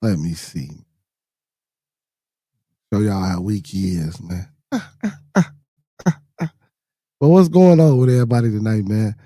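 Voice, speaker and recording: A hoarse man with a low, dark, mellow voice hosts a casual show. He talks in loose slang and mutters to himself as he fights with the screen share. The sound is dry and close. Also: slow, pauses often, dry recording. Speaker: podcast host. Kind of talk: casual live-stream chat.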